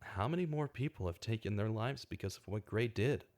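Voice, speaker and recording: A man playing an earnest, troubled investigator in a radio drama, with a deliberate, dramatic delivery. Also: on the low side, lively intonation, a little mellow, close to an everyday voice. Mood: anguished, bitter. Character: earnest, troubled male investigator, bitter at injustice. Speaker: narrator and investigator in an audio drama. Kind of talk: scripted radio drama acting with monologue narration.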